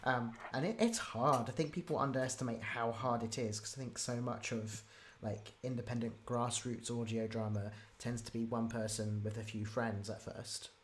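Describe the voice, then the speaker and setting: Casual, halting interviewer over noisy background. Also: mid-range voice, steady tone. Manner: halting, repeats words for emphasis. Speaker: interviewer, audio drama maker. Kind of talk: casual chat.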